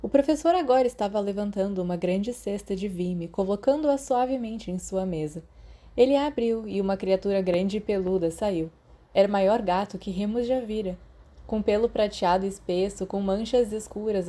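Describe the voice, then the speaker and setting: A woman's voice: medium pitch, normal speed, dark tone. A woman with a Brazilian accent reading scripted fiction as an audiobook narrator.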